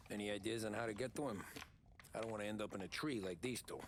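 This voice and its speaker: Man voicing a cool young hitman, low, fast, over noisy game audio. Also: lightly acted. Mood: businesslike. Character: young male mob hitman, cool and practical.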